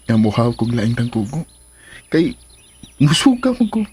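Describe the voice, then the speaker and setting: A man's voice: mid-range voice, sing-song, unhurried, a little mellow, slightly rough, full character voice. A man acting in a radio drama as an ardent, pleading sweetheart. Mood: playful, flirting. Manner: swooping, melodramatic delivery. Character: ardent, pleading male sweetheart insisting on his identity. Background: faint music bed.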